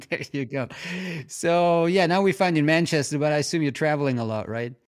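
A man hosting an interview podcast, asking questions in a casual, halting way with fillers. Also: a little high, smooth. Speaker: podcast host.

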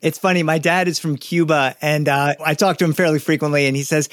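Animated man telling stories in a dry room. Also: a little high, a little fast, no room sound. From United States. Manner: animated, anecdotal, with fillers and restarts. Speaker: guest storyteller and educator. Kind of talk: relaxed conversational interview.

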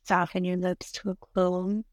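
A woman guiding a meditation in a soothing, gentle manner, giving unhurried instructions with long pauses between short phrases. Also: on the low side, level tone, dry recording, studio quality. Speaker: meditation guide. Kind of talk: guided meditation narration.

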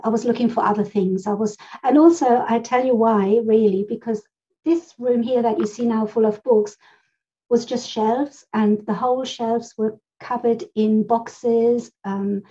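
A woman's voice: fairly high pitch, fairly even intonation, a little slow, warm tone. A middle-aged woman from Germany co-hosting a podcast, telling stories in a rambling, chatty way with frequent laughs. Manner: chatty, rambling storyteller who laughs often. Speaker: podcast co-host. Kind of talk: casual conversational podcast chat. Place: home room full of books. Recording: slightly dull, rough audio.

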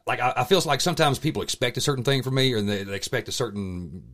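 A radio host, he chats casually and stumbles over his words, repeating himself as he works through a thought. His pitch moves widely from phrase to phrase, and he often turns his own remarks into self-deprecating jokes. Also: animated, fairly bright tone. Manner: halting, stammering, self-deprecating. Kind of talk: casual podcast chat.

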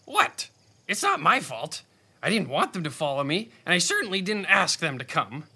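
A man's voice: a little high, very animated, normal speed, gravelly, bright, forceful tone, slightly rough, full character voice. A man voicing a proud, grumpy rabbit scribe with a fussy, pompous manner in a children's audio drama. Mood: indignant. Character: grumpy, proud male rabbit scribe, pedantic and easily offended.

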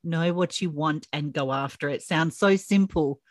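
A woman hosts a podcast interview with a lower-pitched voice, affirming and encouraging her guest with effusive praise. The recording is dry and close, without any reverb. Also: normal speed. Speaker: podcast host. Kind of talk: interview hosting.

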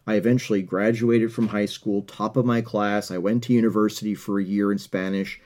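This is a middle-aged man from the United States hosting a motivational podcast. His voice sits low and has a warm, dark tone. He talks earnestly, often doubling back on a word before he pushes on. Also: flat delivery, fairly quick. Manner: earnest, repeats words while finding his phrasing. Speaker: podcast host. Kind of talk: motivational monologue.

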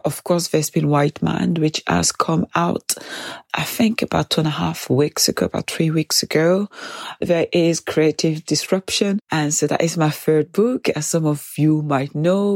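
A woman hosting a reflective solo podcast, speaking slowly and thoughtfully, her voice stuffy from a cold. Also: fairly low pitch, a little mellow, dry recording, studio quality. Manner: slow, measured, thoughtful delivery. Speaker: podcast host.

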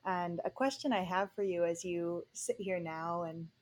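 A woman co-hosting a conversation, speaking slowly and thoughtfully with frequent pauses and fillers. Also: fairly low pitch, fairly even intonation, a little mellow, slight background noise. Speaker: podcast co-host. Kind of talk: casual conversation.

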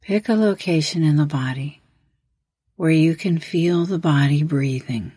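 A woman leading a guided meditation as host, giving measured, instructional prompts with long pauses. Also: sits low, mellow, breathy edge, no room sound.

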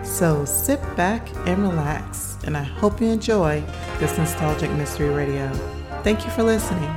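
A woman's voice: deep voice, lively intonation, moderate pace, over music. A woman host giving a friendly, polished podcast introduction.

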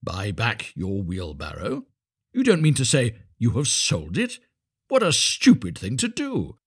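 A pompous, condescending miller played by a male reader from a storybook. His pitch swings widely as he moralizes in drawn-out, self-satisfied phrases. The recording is dry and close. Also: wildly swooping pitch, husky, no room sound, heavily acted. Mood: scolding. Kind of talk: storybook character voice.

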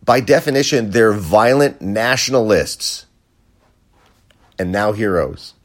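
A man's voice: on the low side, takes it slowly. An American man hosting a podcast, delivering a sarcastic, profane opinion rant. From United States. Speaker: podcast host. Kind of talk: opinion monologue.

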